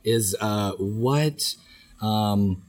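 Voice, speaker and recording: A man hosting a podcast interview with a raspy voice from a head cold, joking lightly and asking questions with many fillers. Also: on the low side, extremely slow, a little mellow. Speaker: podcast host, professor.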